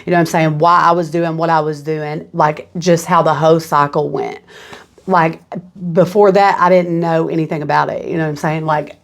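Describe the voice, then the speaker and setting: A woman's voice: low voice, level tone, normal speed. A young woman from southeastern Kentucky with a Kentucky accent, a guest on a podcast who talks casually with lots of "you know what I'm saying". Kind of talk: casual interview.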